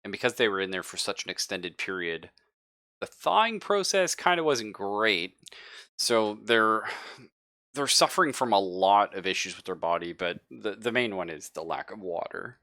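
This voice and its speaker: A man's voice: lowish voice, expressive, normal speed, bright, forceful tone, no room sound, studio quality. A man speaking as game master, improvising narration of a tabletop game in an informal, explanatory way.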